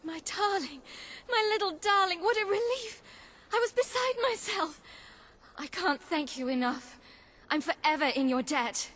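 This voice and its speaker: A woman's voice: very high voice, lively intonation, a little slow, a bit bright, full character voice. A woman playing an anxious, doting village mother in a fantasy game, fretful and emotional. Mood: relieved.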